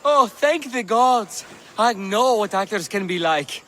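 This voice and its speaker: High-pitched, theatrical Greek playwright. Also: male voice, animated, measured pace, sharp tone, a little hiss or hum, exaggerated voice. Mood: relieved, grandiose. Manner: effusive, dramatic. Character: excitable, theatrical male Greek comic playwright. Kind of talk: video game dialogue, stagey.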